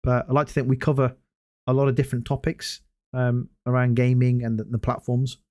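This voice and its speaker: A man hosting a casual solo gaming podcast, hoarse after hours of talking and rambling with many hesitant restarts. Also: mid-range voice, monotone, a little fast, a little mellow. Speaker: podcast host.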